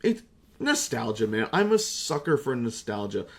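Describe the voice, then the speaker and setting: A young man rambling casually as a video creator, self-deprecating, excitable and quick to swear. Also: mid-range voice, breathy edge. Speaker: video creator, amateur voice actor. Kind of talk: casual rambling retrospective vlog.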